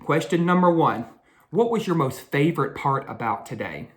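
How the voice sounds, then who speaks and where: A woman's voice: low voice, expressive, normal speed. A woman hosting a children's read-aloud, talking directly to young listeners and asking them questions.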